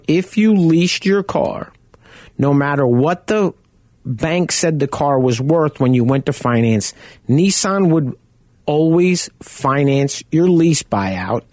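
Loud-voiced man hosting a radio show. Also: medium pitch, dry recording, studio quality. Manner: conversational, rambling, anecdotal. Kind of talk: casual radio talk show monologue.

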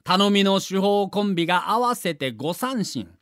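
A man's voice: sits high, expressive, normal speed, a bit bright, smooth, dry recording, clean studio sound. A man giving dramatic scripted narration for an audio documentary.

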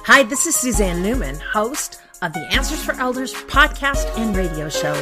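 A woman's voice: fairly high pitch, big pitch swings, moderate pace, over music. A woman who hosts a radio show and podcast, reading a scripted promo.

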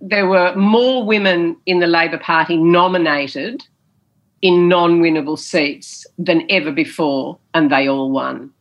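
A woman's voice: on the low side, steady tone, unhurried, muffled recording. A woman, a former politician, answering interview questions in an emphatic, storytelling way with a big theatrical voice, often repeating phrases and saying "you know".

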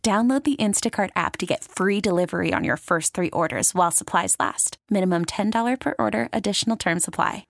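A woman's voice: medium pitch, a little fast, gravelly, few pauses, dry recording, clean studio sound. An American woman doing a playful scripted radio commercial read in a wry, conversational way. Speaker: commercial voice-over.

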